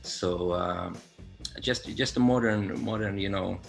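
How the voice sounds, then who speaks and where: A man's voice: low voice, slow pace, gravelly, soft background music. A man from Finland, answering interview questions over a video call in a reflective, laid-back way. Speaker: guest musician.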